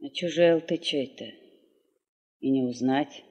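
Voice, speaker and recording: A woman's voice: extremely low pitch, takes it slowly, warm tone, breathy edge, heavily acted. A woman playing a pious old village aunt, folksy and reproachful, in a 1970s Soviet radio play. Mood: plaintive, reproachful. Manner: folksy, lamenting.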